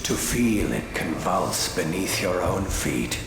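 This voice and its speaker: A man's voice: deep voice, big pitch swings, slow, vocal fry, heavily acted. A man playing a sadistic warlord villain in a video game, savoring his words with theatrical, gloating menace. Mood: rapturous menace. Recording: echoing voice under very loud music. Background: very loud music.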